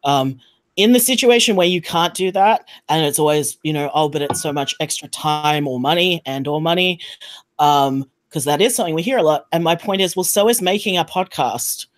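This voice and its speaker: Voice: medium pitch, normal speed. A podcast panelist making points emphatically in casual discussion.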